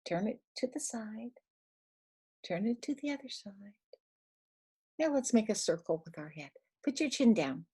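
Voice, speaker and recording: An older woman reads a picture book aloud to toddlers, speaking simply with questions and little instructions, in a breathy, husky voice. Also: mid-range voice, mellow. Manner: simple, instructive, asking the child questions and giving prompts. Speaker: storyteller for young children.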